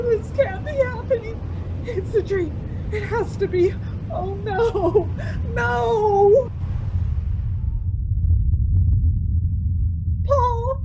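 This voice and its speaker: Radio play wife, played by a woman: very high, unhurried voice, anxious, urgent and pleading. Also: darkish tone, slight background noise, full character voice, low-quality recording. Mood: hysterical, tearful. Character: frightened, pleading wife stranded as a passenger on a snowy mountain pass.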